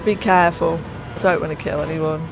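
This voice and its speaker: A woman's voice: deep voice, fairly even intonation, a little fast, slight background noise, poor audio. A woman giving sarcastic, exasperated voice-over commentary on a racing game while losing her voice. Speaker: gaming channel host. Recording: voice over clear background music.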